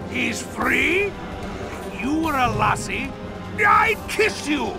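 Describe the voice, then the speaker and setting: A burly, mischievous dwarf warrior from a fantasy game. His voice sits high and swings widely, hearty and blunt, at an unhurried pace. Loud music plays behind him. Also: big pitch swings, full character voice. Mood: jubilant. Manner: hearty, rough-edged, jovial. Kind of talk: video game cutscene acting.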